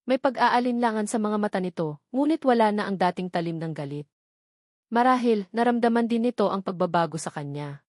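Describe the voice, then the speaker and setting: A Filipino woman reads a dramatic story in a mid-range voice. Her phrasing is measured, with pauses placed for weight. The recording is dry, with very little room sound. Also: medium pitch, expressive, darkish tone, no room sound. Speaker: audiobook narrator. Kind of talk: scripted dramatic story narration.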